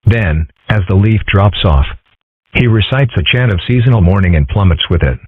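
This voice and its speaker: A man voicing a calm, dry-witted young dragon son with a deadpan, measured delivery in a comic dub. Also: fairly low pitch, slightly rough, dry recording, narrow, tinny audio. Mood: explanatory. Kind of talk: comic dub character acting.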